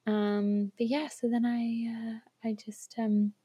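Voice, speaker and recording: A young woman from Switzerland, a guest telling her story in a casual chat over an online call, laughing often and filling pauses with ums. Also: on the high side, flat delivery, warm tone, slightly rough, no echo. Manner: chatty, laughs often, lots of fillers. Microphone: remote online call recording.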